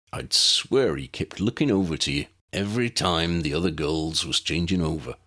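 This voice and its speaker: A man voicing a huge, kindly rustic teacher in an audiobook, with folksy, ungrammatical, warm speech. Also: low voice, full character voice. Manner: folksy, ungrammatical, rambling warmth.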